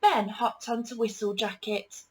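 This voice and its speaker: A woman reading a children's picture book aloud as a storyteller, with deliberate, dramatic emphasis. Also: fairly high pitch.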